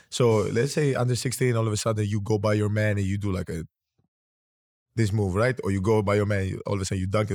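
A man's voice: fairly low pitch, no room sound, studio quality. A man in his thirties from Greece, with a Greek accent, hosting a casual interview and rambling through his stories with frequent restarts. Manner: relaxed, rambling, restarts often. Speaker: podcast host.

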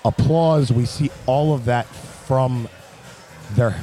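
A man's voice: mid-range voice, slow pace, mellow. A man hosting a casual talk show, bantering loosely with his co-hosts, swearing now and then. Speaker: show host.

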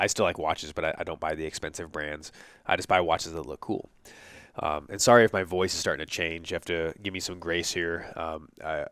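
A man in his thirties with a stuffy nose speaks in a low, smooth, resonant voice. He tells a personal story slowly, halting and restarting his sentences. The sound is dry and close to the mic. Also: fairly bright tone, no room sound. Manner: halting, many restarts and fillers. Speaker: podcast host.

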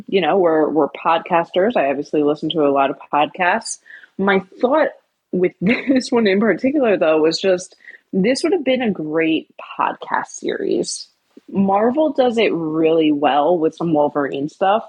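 Husky-voiced woman podcast host. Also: on the low side, lo-fi sound. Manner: thoughtful, hesitant, many ums, laughs lightly. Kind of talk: casual podcast discussion.

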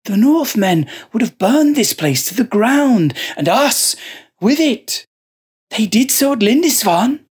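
Picture a scrawny, unhinged monk in a dark storybook, his voice pitched high and straining with fevered conviction, as the reader puts him on. He talks in slow, dragged-out phrases, as if savoring each word, and tips over into shrill shrieks. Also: big pitch swings, breathy edge, full character voice. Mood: zealous. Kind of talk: storybook horror reading.

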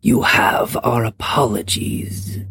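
Casting: a woman doing a colossal elder dragon father, deep, raspy and breathy, slow and measured, stern authority, dry close sound. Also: a bit bright, dry recording, exaggerated voice. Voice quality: deep gravelly whisper. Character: huge ancient male dragon parent, stern. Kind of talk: audiobook character voice.

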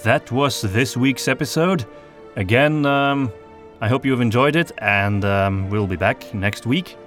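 Podcast-Moderator mit mittlerer Männerstimme. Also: male voice, mid-range voice, measured pace, a bit bright, faint music behind. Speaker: podcast host. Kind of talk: casual podcast talk.

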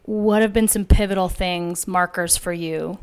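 A woman in her thirties with a smooth, clear voice, leading the chat with curious questions. She speaks in a relaxed, conversational way, mixing short affirmations with prompts. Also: on the low side, a little slow. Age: 30s. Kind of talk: casual conversation.

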